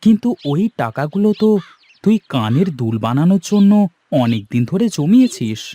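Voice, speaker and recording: A man's voice: a little high, sing-song, moderate pace, mellow. A man voicing a poor, loving and anxious village father in a cartoon. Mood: concerned, tender. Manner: tender, anxious. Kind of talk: cartoon character dialogue. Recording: music bed behind the voice.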